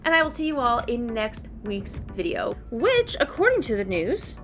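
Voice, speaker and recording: A woman's voice: a little high, sing-song, normal speed. Woman chatting casually over background music. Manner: thinking aloud, self-questioning. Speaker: video game YouTuber. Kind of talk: casual rambling game commentary.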